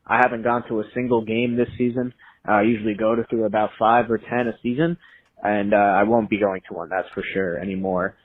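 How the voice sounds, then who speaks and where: A man's voice: fairly low pitch, steady tone, brisk, no room sound. A man leaving a casual, weary and dejected voicemail as a sports fan, over a phone line. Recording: thin, narrow-band phone voicemail.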